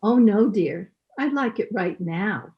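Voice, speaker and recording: A woman's voice: mid-range voice, animated, takes it slowly. A woman playing a catty, condescending society lady in an old-time radio sitcom, with sugary, arch delivery and dull video call audio. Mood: needling.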